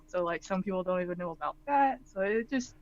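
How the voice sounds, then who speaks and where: A woman's voice: on the low side, lively intonation, a little fast, a little mellow, no echo. A woman explains things at length on a live Q&A, with frequent "um"s and restarts. Her voice comes over a dull, lo-fi online call with a dry, close sound. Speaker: co-host and guide writer. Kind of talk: casual live Q&A chat.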